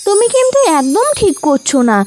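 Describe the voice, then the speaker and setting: A sensible young bird sister from a cartoon, played by a woman, proud and firm. Her pitch is very high and lively, over clear music. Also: brisk, dry recording. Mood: indignant. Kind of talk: animated cartoon voice acting.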